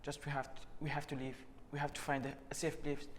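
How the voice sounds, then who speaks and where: Interviewee: young man from Syria, fairly high voice, terse, trails off softly, long reverb, faint hum. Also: flat delivery, quick pace. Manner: short, plain answers, voice trailing off quietly. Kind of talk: casual interview answers.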